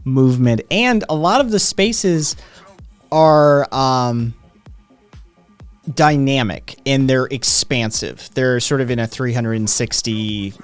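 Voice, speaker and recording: A man's voice: medium pitch, animated, slow pace, clear, resonant tone, no room sound, faint music behind. A man hosting a live-streamed talk show, speaking casually with many hesitations and answering viewers' comments. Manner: hesitant, many "um"s, reads chat aloud. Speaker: podcast host. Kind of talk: casual chat.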